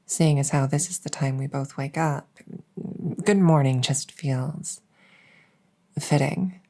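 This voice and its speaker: Woman acting a devoted, low-voiced vampire maid. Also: creaky, warm tone, heavily acted. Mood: soothing, caring. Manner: soothing, polite, attentive. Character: devoted, gentle vampire maid serving a weary monarch. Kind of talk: ASMR roleplay. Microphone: close stereo condenser mic.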